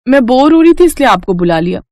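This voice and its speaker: A woman's voice: sits high, sing-song, quick pace, darkish tone, no echo. A woman voicing a naive young bride in a cartoon, earnest and flustered. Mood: exasperated. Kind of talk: cartoon dubbing.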